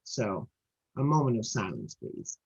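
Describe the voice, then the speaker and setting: A middle-aged woman shares her recovery story in a husky, earnest voice. She circles back on her words as she builds up speed. It comes through dull, lo-fi video call audio. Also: a bass voice, wildly swooping pitch, unhurried, a little mellow. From Trinidad and Tobago. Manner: earnest, repeats words while building momentum. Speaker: guest speaker at a recovery meeting.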